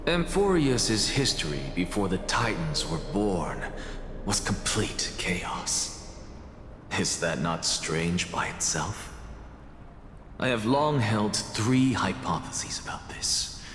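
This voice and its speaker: A self-assured scholar in a fantasy game, with a lowish voice that swings expressively. He muses slowly and theatrically, as if weighing each theory. It rings in a long hall echo with noise behind. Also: sing-song. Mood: musing, skeptical. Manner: deliberate, theatrical, musing. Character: analytical, self-assured scholar reasoning out ancient mysteries. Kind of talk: dramatic video game voice acting. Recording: very echoey hall with clear noise.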